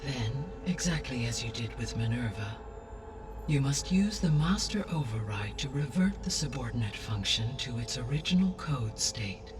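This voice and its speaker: An actor playing a calm, grave artificial intelligence in a video game, speaking formally with precise, deliberate diction. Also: male voice, medium pitch, recorded from a distance, music in the background, close to an everyday voice. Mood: formal, instructive. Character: calm male artificial intelligence, grave, all-knowing custodian. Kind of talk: cinematic video game acting, exposition.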